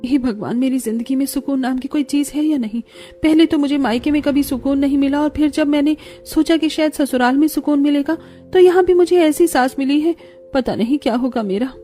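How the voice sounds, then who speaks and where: A meek young bride in a cartoon drama, voiced by a woman. She speaks in a high, soft-toned voice with a fretful, plaintive manner, over faint music. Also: moderate pace, lightly acted. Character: meek, anxious young bride, a troubled daughter-in-law. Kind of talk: Hindi animated family-drama voice acting.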